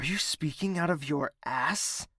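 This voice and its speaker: An actor playing a young prince in an audio drama, speaking in a measured, formal way. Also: male voice, fairly high pitch, bright, forceful tone, no room sound, clean studio sound. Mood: angry, commanding. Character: young prince, tender in private, commanding with subordinates.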